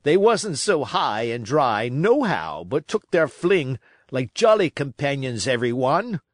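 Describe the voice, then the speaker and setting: A man reading a storybook, voicing an impatient, mutinous pirate coxswain who grumbles in rough sailor speech. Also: mid-range voice, sing-song, measured pace, no echo, studio quality. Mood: gruff, defiant.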